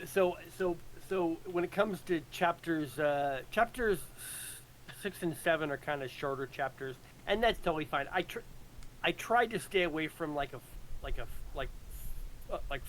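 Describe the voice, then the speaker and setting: Self-deprecating writer guy chatting, stop-start delivery, voice a bit high, slight background noise. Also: moderate pace, low-quality recording. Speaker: writer discussing own work. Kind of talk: casual chat.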